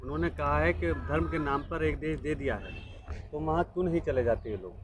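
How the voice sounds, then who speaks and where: A man's voice: fairly high pitch, brisk. A man putting questions as a street interviewer, picked up off-mic among a crowd. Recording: off-mic amid crowd noise.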